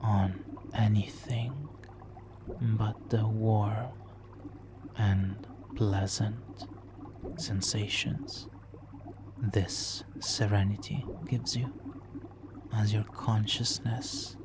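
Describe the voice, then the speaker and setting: A man playing a smooth-talking supervillain nemesis in an ASMR roleplay, hushed, soothing and coaxing as he hypnotically seduces a captive hero. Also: on the low side, little pitch movement, background noise. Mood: hypnotic, coaxing. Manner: slow, hushed, soothing, coaxing with soft chuckles. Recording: water trickling behind the voice.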